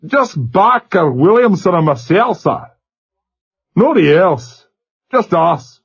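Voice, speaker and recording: A man voicing a truculent young male seaman with a slurred Glasgow accent and a throaty, husky voice. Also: sits high, very animated, takes it slowly, voice pushed up, full character voice. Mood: shaken. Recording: dull lo-fi recording.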